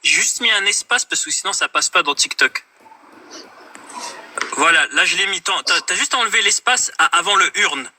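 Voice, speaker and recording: A man's voice: high voice, animated, moderate pace, bright, forceful tone, a little hiss or hum. A man hosting a heated live-stream debate from his phone. He talks over the others and repeats himself insistently.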